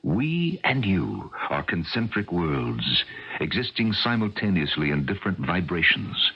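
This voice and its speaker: Deep, gravelly scientist father. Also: sing-song, unhurried, heavily acted. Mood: earnest, probing. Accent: American. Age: middle-aged. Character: earnest, anguished scientist father bargaining for his family. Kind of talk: radio drama acting. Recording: muffled vintage radio drama recording.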